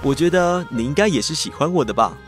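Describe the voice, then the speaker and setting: A man's voice: on the high side, expressive, fast, soft background music, natural voice. A man playing a cheerful, persistent teenage schoolboy with a crush in a radio drama, speaking with a Taiwanese accent in a playful, earnest way. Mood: tender, earnest. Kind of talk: Mandarin boys' love radio drama acting.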